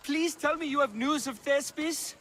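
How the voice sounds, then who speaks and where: A man playing an excitable, grandiose playwright of ancient Athens in a video game. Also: very high-pitched, normal speed, slight background noise. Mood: relieved, grateful. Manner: grandiose, dramatic. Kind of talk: video game cutscene acting.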